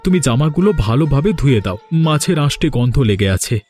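Man playing a humble village husband, medium-pitched. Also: lively intonation, fast, darkish tone, faint music behind, natural voice. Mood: weary, reporting. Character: humble, hardworking poor village husband, placating. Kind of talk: cartoon dialogue.

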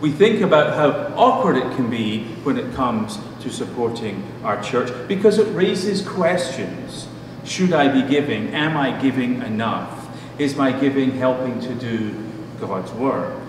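A middle-aged man preaching a sermon as a pastor, in a measured, deliberate manner with pauses. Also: medium pitch, lively intonation. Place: church room at a pulpit. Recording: distant mic, echoey hall, noisy background.

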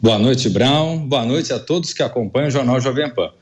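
Mid-pitched Brazilian male political commentator. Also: normal speed. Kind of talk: political analysis. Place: small room at home or office. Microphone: wired earphones on a video call.

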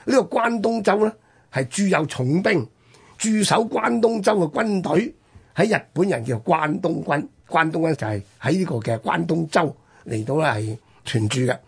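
A man with a Hong Kong Cantonese accent hosting a history talk, telling it conversationally in halting, particle-filled phrases. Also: a little high, expressive, vocal fry, slightly rough. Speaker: radio history programme host.

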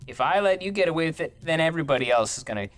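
A man's voice: on the high side, expressive, rapid-fire, a bit bright. A young man doing goofy, rambling let's-play commentary at home, joking while he plays. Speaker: gaming streamer.

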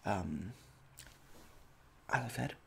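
Hesitant young man, creaky ASMR voice. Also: on the low side, animated, sharp tone, slight background noise. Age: young adult. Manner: halting, hesitant, awkwardly polite. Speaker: ASMR performer playing a medical examiner. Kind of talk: soft-spoken ASMR roleplay. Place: small domestic room indoors.

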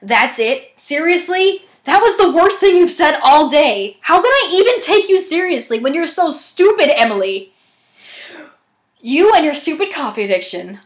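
Woman acting a high-voiced scornful teen girl. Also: sing-song, moderate pace. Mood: scornful, annoyed. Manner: scornful, exasperated. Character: snooty, irritated, scornful cartoon teenage girl. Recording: echoey room.

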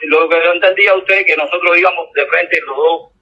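A man with a Cuban accent talking casually on the phone in a confused, halting, repetitive way. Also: high-pitched, fairly even intonation, sharp tone. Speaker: call recipient, driving test candidate. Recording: dull telephone line with faint noise.

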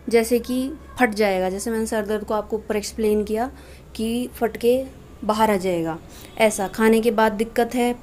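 A woman's voice: on the high side, darkish tone. A woman with an Indian accent teaching a lesson in a conversational way, reading notes aloud and explaining them. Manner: conversational, repeatedly checking with "okay". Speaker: homeopathy teacher. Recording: noisy home-made screen-recording voiceover. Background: clear background noise.

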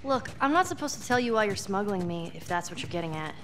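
A woman's voice: medium pitch, animated, fairly bright tone, slight background noise, close to an everyday voice. A woman voicing a defiant, curious fourteen-year-old girl in a game, who asks prying questions and answers with sass. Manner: quick, prying, sassy.

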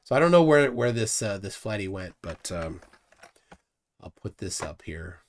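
A middle-aged man hosting a casual livestream from a room at home, scornful and animated, often trailing off quietly. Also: on the low side, normal speed, slight background noise. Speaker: host.

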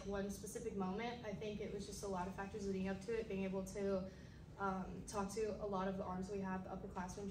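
A young woman softball pitcher answers softly and hesitantly, with frequent fillers. She sounds distant in a reverberant press room. Background noise is clear throughout. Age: young adult. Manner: soft-spoken, hesitant with fillers. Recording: roomy, distant, noisy phone recording.

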